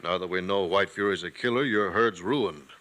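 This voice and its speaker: An actor playing a cold, unyielding town banker in a 1950s radio western, clipped and stern. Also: male voice, deep voice, normal speed, fairly bright tone.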